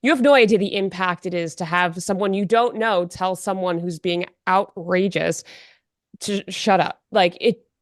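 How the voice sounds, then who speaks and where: A woman on a non-profit board explaining her group's work in a podcast interview, measured and full of "you know". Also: lowish voice, dry recording, studio quality.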